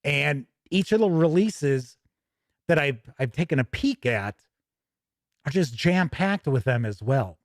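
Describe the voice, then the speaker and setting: A man presents hobby news in a chatty but emphatic way. His voice sits a little high and moves widely up and down as he talks. The recording is dry and close, with very little room sound. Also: lively intonation, a little slow, no echo. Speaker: host of a tabletop gaming news show. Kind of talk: chatty news presenting, reading product write-ups and answering viewer comments.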